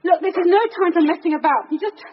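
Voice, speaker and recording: An actress playing a brisk, claustrophobic police detective in a naturalistic radio drama, with halting delivery under strain. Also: female voice, very high voice. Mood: tense. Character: female police detective superintendent, brisk, claustrophobic, turning panicky. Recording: noisy, dull radio drama recording.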